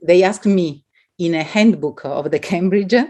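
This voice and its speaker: Low-voiced Italian-accented woman academic. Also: measured pace, warm tone. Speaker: academic guest speaker. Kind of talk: academic talk answering audience questions.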